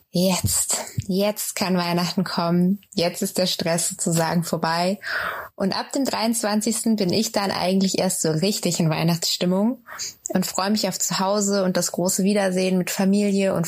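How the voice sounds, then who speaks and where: Calm woman speaking in an unhurried way. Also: fairly low pitch. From Oldenburg, Germany. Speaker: Christmas market worker. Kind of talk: personal account.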